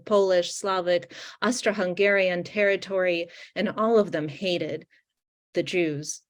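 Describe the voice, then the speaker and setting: A middle-aged woman from Ukraine whose first language is Russian, reading her poems slowly and deliberately over a video call. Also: lowish voice, a little mellow. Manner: slow, deliberate reading with weighted pauses. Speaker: poet. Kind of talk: poetry reading. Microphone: video call microphone.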